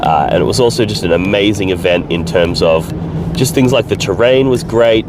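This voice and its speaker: A man's voice: on the low side, normal speed, background noise. An Australian man with a cold and a nasal voice, chatting casually and swearing freely in a video diary recorded in his car at night. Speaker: vlogger. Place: car, driving home at night.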